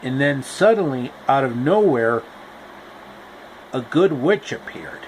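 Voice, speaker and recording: A man's voice: medium pitch, expressive, takes it slowly, a little hiss or hum, rough recording. A man retelling a fairy tale in a loose, rambling casual narration with restarts. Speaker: storyteller. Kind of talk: casual improvised story retelling.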